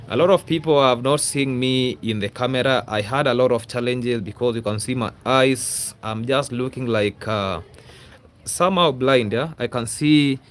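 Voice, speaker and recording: A man's voice: mid-range voice, slight background noise. Kenyan man chatting on a livestream. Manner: rambling, repetitive, often adds a questioning "yeah?". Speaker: YouTuber, livestream host. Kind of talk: casual livestream chat to viewers.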